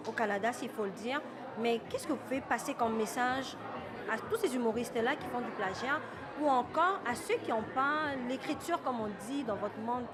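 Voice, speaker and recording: A woman interviewer, asking polite, formal questions with some hesitation. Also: fairly high pitch. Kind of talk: event red-carpet interview.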